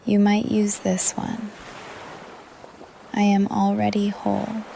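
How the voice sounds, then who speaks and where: A woman leading a guided meditation in a soft, calm, breathy voice that often trails into a whisper, with gentle pauses. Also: medium pitch, slight background noise, poor audio. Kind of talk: guided meditation, yoga nidra narration. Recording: ocean surf under the voice. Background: ocean waves ambience.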